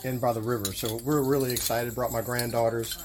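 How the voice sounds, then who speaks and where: A middle-aged or older man from the New Orleans area, giving casual, halting answers as an interview guest. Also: mid-range voice, little pitch movement, noisy background. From New Orleans area, Louisiana. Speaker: interview guest, travel association president. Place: at a festive party. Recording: busy party chatter behind voice.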